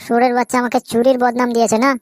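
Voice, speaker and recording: A hot-tempered young village lad swears and quarrels at a rapid clip, as a woman acts him out. His voice sits fairly high, and he speaks in a comic cartoon. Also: little pitch movement, a little mellow, a little hiss or hum, close to an everyday voice. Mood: angry.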